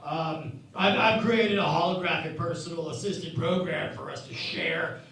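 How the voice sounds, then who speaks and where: A man playing an awkward starship engineer in a comedic parody radio play, halting and fumbling for words. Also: fairly high pitch, vocal fry, a crowd in the background. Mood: awkward, proud. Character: awkward, stammering male starship engineer presenting his invention. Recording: roomy echo, distant mic, faint noise.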